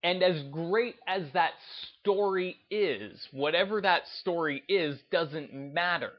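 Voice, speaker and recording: Man giving halting commentary, slightly dull sound. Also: on the high side. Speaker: gaming video commentator. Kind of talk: off-the-cuff opinion commentary.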